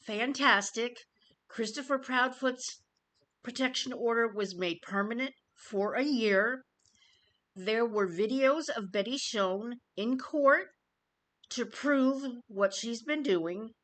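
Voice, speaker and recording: Slow-paced woman giving a YouTube update. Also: fairly high pitch, fairly bright tone, poor audio. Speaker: YouTube commentator. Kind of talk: informal news update to viewers.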